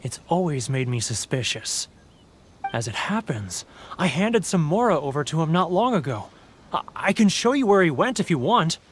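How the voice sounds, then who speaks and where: A man's voice: on the high side, big pitch swings, moderate pace, slight background noise. An actor playing a homesick, guilt-ridden male merchant in a game, mournful and prone to stammering. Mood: confessing. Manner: mournful, stammering, self-pitying. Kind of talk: video game cutscene dialogue.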